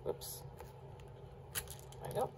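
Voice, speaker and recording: A woman's voice: takes it slowly, background noise. A woman chatting casually with her viewers while she chops vegetables at her kitchen counter. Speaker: cooking vlogger. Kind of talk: casual cook-along chat.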